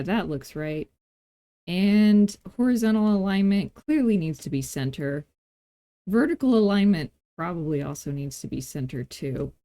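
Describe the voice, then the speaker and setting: A woman with a relaxed, mellow and slightly low voice leads a casual tutorial at an unhurried pace. She thinks out loud as she works and slips in playful, self-deprecating asides. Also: no room sound. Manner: informal thinking aloud while working. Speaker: tutorial host. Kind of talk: casual screen-recorded software tutorial narration.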